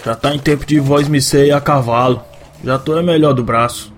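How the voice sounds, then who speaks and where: A man's voice: mid-range voice, steady tone, moderate pace, a little hiss or hum, voice pushed up, close to an everyday voice. A man voicing a brash, boastful bandit of the backlands in an audio drama, blustering and hot-headed. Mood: grumbling. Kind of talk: audio drama character acting.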